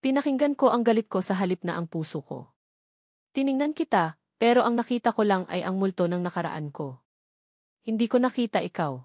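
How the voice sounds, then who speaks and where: A woman's voice: medium pitch, expressive, a little fast, darkish tone, no room sound, clean studio sound. Woman reading as a remorseful, lovesick Filipino man. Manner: earnest, pleading. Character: remorseful, lovesick wealthy man pleading for forgiveness.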